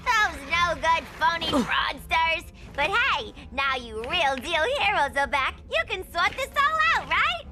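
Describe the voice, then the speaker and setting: A woman's voice: extremely high pitch, very animated, measured pace, few pauses, exaggerated voice. A woman playing a brash, wacky female clown crook in a video game, slangy and exaggerated. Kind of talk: animated video game cutscene acting. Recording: loud music behind the voice.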